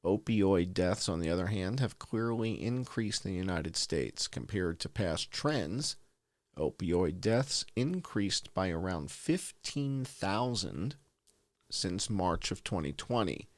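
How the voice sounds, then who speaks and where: A man reads a formal research report aloud in a fairly low voice. His intonation swings widely, with deliberate, emphatic stress. The sound is close and dry, free of room echo. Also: expressive. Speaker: host.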